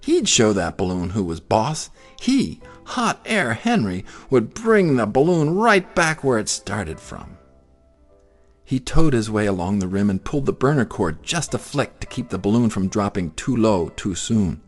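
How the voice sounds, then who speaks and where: A man narrating a children's story in an expressive, theatrical way. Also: lowish voice, a little slow, soft background music. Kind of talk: dramatic children's story reading.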